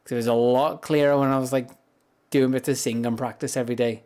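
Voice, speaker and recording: Deep, grumbly man streaming. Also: steady tone, quick pace. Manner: rambling, chatty, soft-spoken without projecting. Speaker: livestreamer. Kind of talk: casual livestream chat. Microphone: high-gain streaming mic up close.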